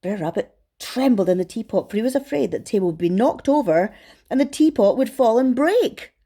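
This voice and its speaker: A woman reading to children as a storyteller, expressive and affectionate in how she addresses young listeners. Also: a little high, fairly quick.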